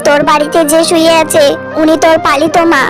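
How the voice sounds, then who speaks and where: A woman's voice: high-pitched, flat delivery, brisk, over music, lightly acted. A woman voicing a protective, insistent snake-woman mother in a fairy-tale cartoon. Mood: urgent, insistent.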